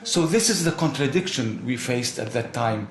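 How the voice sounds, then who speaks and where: Older man from egypt, reflective, echoey room, quiet guitar under it. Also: mid-range voice, animated, normal speed. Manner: reflective, emphatic. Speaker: interviewee. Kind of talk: documentary interview testimony. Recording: echoey room with soft music.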